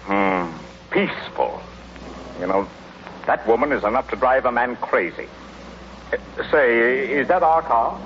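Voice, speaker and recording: A man's voice: medium pitch, unhurried, long pauses, fairly bright tone, close to an everyday voice. An actor playing an exasperated American husband in his fifties in an old radio comedy, stammering when riled, on a muffled, hissy recording. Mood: grumbling. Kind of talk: 1930s-40s radio comedy acting.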